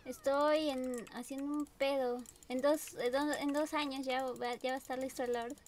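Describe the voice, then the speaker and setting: A woman's voice: high voice, steady tone, normal speed, a little hiss or hum. A young woman with a Peruvian Spanish accent, chatting casually and thinking aloud while she plays a mobile game. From Peru. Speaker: gamer. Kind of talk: casual gameplay commentary.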